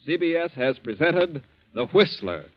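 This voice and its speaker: Lively, higher-voiced male radio announcer. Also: unhurried. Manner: formal, emphatic. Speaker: network announcer. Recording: muffled old radio recording. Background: loud theme music.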